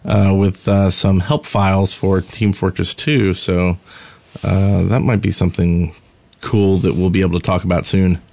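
A man's voice: low voice, flat delivery, unhurried, gravelly, darkish tone, no echo. A man co-hosting a casual gaming podcast, talking in a loose, rambling way with hesitant explanations. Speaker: podcast co-host.

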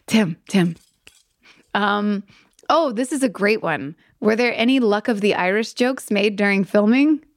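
An enthusiastic woman in her forties co-hosts a podcast in easy, casual chat. She laughs readily and reacts warmly to her partner. Her mid-range voice sounds dry and close. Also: mid-range voice, measured pace, no echo. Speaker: podcast co-host, actress.

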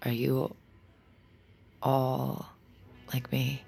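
Anxious, low-voiced, creaky young fugitive. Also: female voice, monotone, mellow, soft background music, heavily acted. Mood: shaken, wary. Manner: hesitant, halting, emotional. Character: anxious, bewildered young woman on the run. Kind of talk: naturalistic audio fiction acting.

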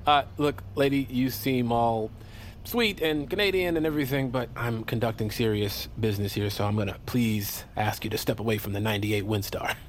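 An actor with an American accent playing a wry, sarcastic, easily flustered amateur bounty hunter in a comedy audio drama. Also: male voice, mid-range voice, moderate pace, slight background noise, natural voice. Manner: sarcastic, wry, foul-mouthed.

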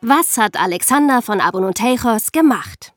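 A woman's voice: on the high side, expressive, moderate pace, a bit bright, no echo, studio quality, soft background music. A woman hosting a podcast, telling and explaining scripted stories in a chatty way for young listeners. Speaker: podcast host and narrator.

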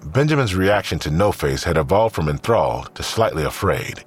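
A man's voice: sits low, expressive, normal speed, a little hiss or hum. A man reading scripted narration for an audio drama.

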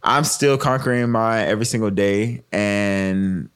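A man in his twenties co-hosting a casual podcast, slangy and animated, often stumbling and repeating words. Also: fairly low pitch, measured pace, smooth. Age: 20s. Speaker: podcast co-host. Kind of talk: casual chat.

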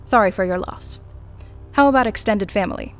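An actress playing a cool, unflappable corporate interrogator in an audio drama, formal, clipped and detached. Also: female voice, mid-range voice, normal speed, natural voice. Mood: probing, detached. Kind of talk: naturalistic audio drama acting.

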